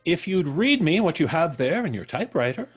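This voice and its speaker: An actor playing a mysterious, suave intruder in an old time radio mystery, polite, deliberate and mocking, with a slightly husky voice. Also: male voice, a little high, very animated, faint music behind. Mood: mock pleading, teasing. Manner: polite, deliberate, teasing, drawn-out delivery.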